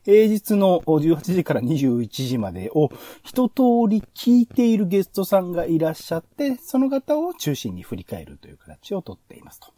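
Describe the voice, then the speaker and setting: A man hosting a casual podcast, talking in a halting way with drawn-out fillers as he thinks aloud. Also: fairly high pitch, wildly swooping pitch, warm tone, dry recording. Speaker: podcast host. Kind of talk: casual podcast talk reviewing radio shows.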